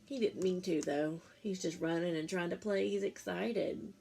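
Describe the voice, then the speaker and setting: A woman's voice: on the low side, normal speed, vocal fry, darkish tone, a little hiss or hum. A woman, a teacher reading a picture book aloud to young children, with simple explanatory asides and questions for her listeners.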